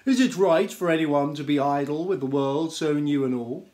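A man's voice: fairly high pitch, moderate pace, darkish tone, close to an everyday voice. A man voicing an earnest working horse in a children's story, with an emphatic, pleading manner. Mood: complaining.

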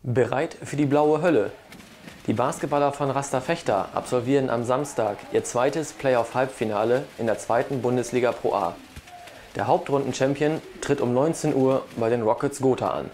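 A man's voice: medium pitch, normal speed, noisy background. A middle-aged man delivering a scripted sports report into a lapel mic.

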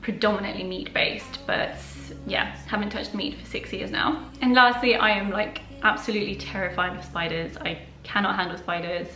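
A woman's voice: mid-range voice, steady tone, normal speed, hardly any pauses, bright, forceful tone, echoey room, soft background music, low-quality recording. A young woman whose first language is Polish, answering viewer questions in a casual, open vlog from her living room. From Poland. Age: young adult. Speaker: personal finance YouTuber. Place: living room at home, indoors.

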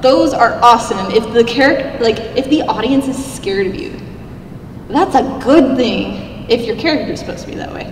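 A young woman with a squeaky little voice giving an upbeat, chatty convention panel talk, speaking into a handheld mic in a large hall. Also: animated, moderate pace, a little hiss or hum. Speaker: cosplay contest judge giving a convention panel. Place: large convention hall, seated at a stage table. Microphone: handheld mic, filmed by a far camera. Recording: echoey hall, recorded from far off.

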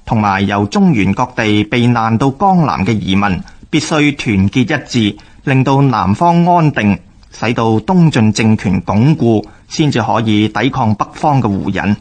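A man's voice: fairly low pitch, expressive, unhurried. Formal Hong Kong Cantonese male narrator. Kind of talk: scripted historical radio narration.

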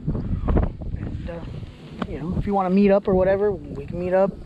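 A young man with a high, light voice chats cheerfully as he fishes, narrating straight to a body-worn camera. Wind and steady outdoor noise sit clearly under his voice. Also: normal speed, phone-quality sound. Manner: upbeat, chatty, laughs often. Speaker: fishing vlogger. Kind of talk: casual outdoor vlog narration. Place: outdoors on a lakeshore. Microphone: body-worn action camera.

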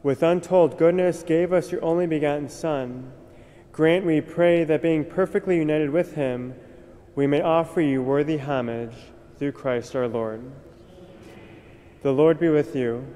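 A young man, a priest, reciting the prayers of Mass at the altar in a measured, solemn way. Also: on the high side, mellow. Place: large cathedral, at the altar. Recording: long cathedral reverb.

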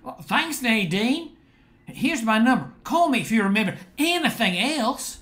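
A man's voice: very high voice, sing-song, fairly bright tone, exaggerated voice. An older actor playing a nervous, stammering man in an amateur radio drama. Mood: earnest. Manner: halting, stammering. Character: George, nervous stammering male co-worker and friend.

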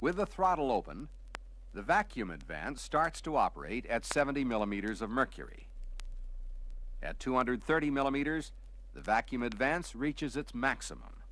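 Man narrating training film, lively pitch. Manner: measured, didactic, explains step by step. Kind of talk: scripted technical narration, vintage training film.

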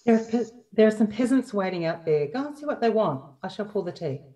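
A welcoming, practical young woman in a stage drama, read by a woman. Her tone is mellow with lively pitch, heard slightly away from a video-call microphone. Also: fairly quick, recorded from a distance, lightly acted. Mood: brisk. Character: hospitable, practical young woman running the household. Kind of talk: amateur play reading.

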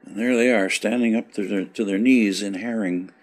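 Low-pitched older man, from Canada, informal commentary, reminiscing over old footage. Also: steady tone, normal speed. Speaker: local resident recalling the past.

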